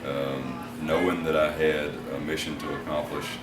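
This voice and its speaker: Very deep-voiced man, unhurried and hesitant. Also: level tone. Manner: measured and hesitant, frequent fillers. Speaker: country singer being interviewed. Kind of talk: backstage interview answers. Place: backstage at a concert venue. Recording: echoey, distant mic, loud background noise.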